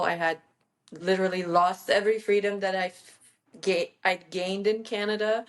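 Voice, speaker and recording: A woman in her thirties from Iran, a guest in a casual interview, talking chattily with frequent fillers and self-corrections. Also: mid-range voice. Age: 30s.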